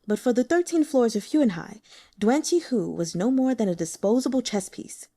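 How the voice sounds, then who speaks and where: A woman plays a persuasive older man, an elder of a secret sect, coaxing and smooth. His lines are mellow, and the pitch moves up and down with animation. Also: expressive, moderate pace.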